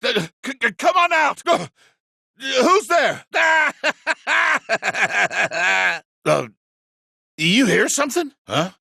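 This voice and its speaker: An actor playing an excitable, cowardly bandit underling in a video game, shrill and gravelly. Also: male voice, drawn-out words, pauses often, no room sound, studio quality, heavily acted. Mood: panicked, aggressive. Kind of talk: video game character barks.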